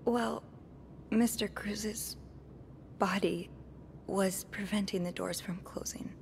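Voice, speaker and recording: A young woman playing an earnest, nervous hotel maid in a video game, speaking haltingly. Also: mid-range voice, fairly even intonation, creaky, slight background noise. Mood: nervous, guarded. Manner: hesitant, halting. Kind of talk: naturalistic video game acting.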